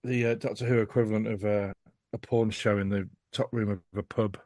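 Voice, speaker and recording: A British man with a fairly low voice, recorded close and dry. He chats casually as a podcast guest, sounding sweary and comically appalled. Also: steady tone, brisk, darkish tone, no echo. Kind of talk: casual chat.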